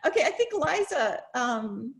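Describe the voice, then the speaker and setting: A woman with a fairly high voice hosts a video-call discussion. She talks in a chatty, effusive, informal way, as a professor would when leading a group. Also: sing-song, a little slow, creaky. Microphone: video call microphone.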